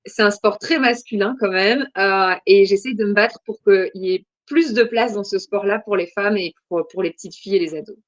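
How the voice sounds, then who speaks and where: A woman from Belgium in her forties answers interview questions, pausing often and filling gaps with soft hesitations. Her voice sits at a middle pitch, and the recording is dry and close, with no room sound. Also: no room sound, highs cut off. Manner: hesitant, many "euh" fillers, thoughtful. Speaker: guest.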